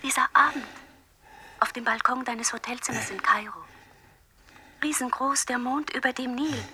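An actress playing a wistful, wronged lover in a radio play, speaking in a hushed, breathy, reproachful way. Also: female voice, a little high, steady tone, moderate pace, noisy background, natural voice. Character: wistful woman, wronged lover, haunting voice of memory.

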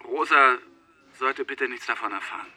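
An actor playing an evasive holidaymaker answering police questions, guarded and hesitant, in a naturalistic radio drama. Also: male voice, mid-range voice, moderate pace, bright, forceful tone.

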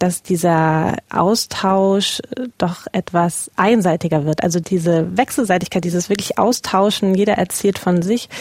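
Low-voiced woman in her thirties, dry sound. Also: darkish tone, dry recording. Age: 30s. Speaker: journalist interviewee. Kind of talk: personal recollection in an interview.

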